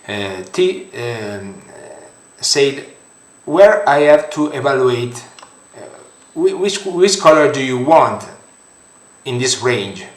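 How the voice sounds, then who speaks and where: Slow, halting man with Italian accent. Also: medium pitch, echoey room. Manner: halting, many fillers and restarts. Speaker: software tutorial creator. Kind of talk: screen-recorded software tutorial.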